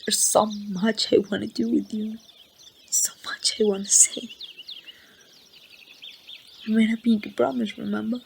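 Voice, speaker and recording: A woman playing a grieving young woman saying goodbye to a lost loved one in an ASMR roleplay, speaking softly and haltingly, at times near a whisper. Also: mid-range voice, flat delivery. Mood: heartbroken, reluctant. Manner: soft, halting, breathy delivery slipping toward whispers. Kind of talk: amateur ASMR roleplay monologue. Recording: outdoor ambience with birdsong, faint noise.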